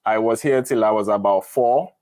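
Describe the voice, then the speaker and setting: A man's voice: lowish voice, moderate pace, a little mellow, no room sound. A middle-aged man with a Nigerian accent, chatting frankly and casually as he hosts a live advice session and tells stories. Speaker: host of a live Q&A on moving to the UK.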